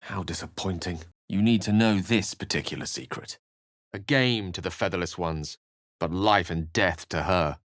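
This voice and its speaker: An actor playing an eloquent, wry wizard companion in a fantasy video game, with articulate, theatrical phrasing. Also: male voice, deep voice, normal speed, a bit bright, no echo, studio quality. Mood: wry, thoughtful. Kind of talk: fantasy game dialogue and narration.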